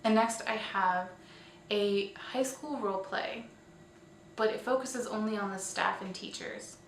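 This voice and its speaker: Female vlog host, informal and hesitant, mid-pitched, recorded away from the mic in an echoey room. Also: a little slow. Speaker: host of a weekly online show. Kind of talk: casual scripted-outline vlog commentary. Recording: roomy, distant mic, faint noise.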